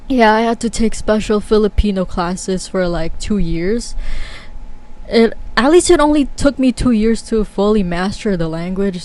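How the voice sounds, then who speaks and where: A woman's voice: medium pitch, darkish tone, poor audio. Chatty young woman vlogger. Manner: chatty and candid, many fillers, laughs often. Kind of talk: casual talking-to-camera chat.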